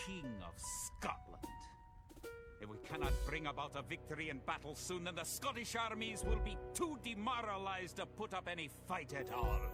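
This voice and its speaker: An actor playing a grave, resolute medieval Scottish soldier, narrating a war in a dramatic, theatrical storytelling style for a game cutscene. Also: male voice, a little high. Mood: ominous, then urgent. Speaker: campaign narrator. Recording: music under the voice.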